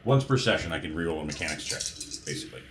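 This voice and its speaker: Deep-voiced, animated man at a game table. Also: rapid-fire. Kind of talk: casual chat. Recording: clear background noise.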